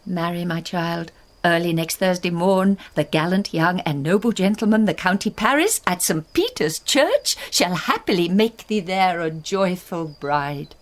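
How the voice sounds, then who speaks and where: A woman's voice: on the low side, animated, a little slow. An actress playing a stern, imperious aristocratic mother in a classical Shakespearean radio drama, theatrical and commanding. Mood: announcing.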